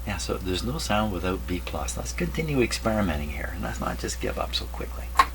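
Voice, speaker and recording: A man's voice: deep voice, big pitch swings, fairly quick, gravelly. A man thinking aloud casually while testing electronics, tentative and often questioning himself. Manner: tentative, muttering, self-questioning. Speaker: radio repair vlogger. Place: radio repair workshop. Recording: loud electrical hum and crackling noise. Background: humming, noisy old radio being tested.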